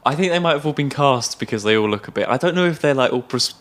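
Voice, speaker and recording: A man's voice: mid-range voice, expressive, quick pace, a bit bright. A man co-hosting a podcast in casual film chat, understated and tentative, often trailing off. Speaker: podcast co-host.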